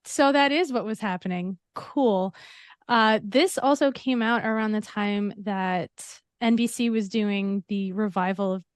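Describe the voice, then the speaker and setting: A woman's voice: mid-range voice, a little slow, clean tone, no room sound, studio quality. A woman in her forties, a guest on a film podcast, talking frankly and enthusiastically, with casual swearing.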